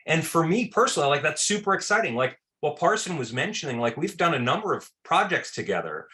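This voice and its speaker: A man's voice: fairly high pitch, big pitch swings, fast. A man talking loosely in an interview about his film work, with many fillers and restarts. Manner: loose, filler-heavy, repeats words; says he has a very loud voice. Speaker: film director. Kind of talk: casual interview answers.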